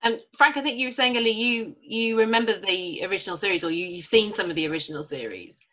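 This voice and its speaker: A woman's voice: mid-range voice, quick pace, pushed and bright, highs cut off. A woman interviewer putting casual questions to her guests over a remote call. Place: remote lockdown recording.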